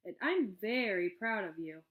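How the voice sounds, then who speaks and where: A woman voicing a calm father in a storybook, patient and gently firm with his children. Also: mid-range voice, measured pace, phone-quality sound, close to an everyday voice. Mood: proud.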